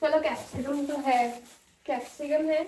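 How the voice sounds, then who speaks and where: A woman's voice: high voice, steady tone, measured pace, darkish tone, rough recording. A young woman from India chatting to camera at home in a rambling vlog, her voice a little off from a sore throat. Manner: chatty, rambling, many fillers. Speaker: vlogger. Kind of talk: casual chat to camera.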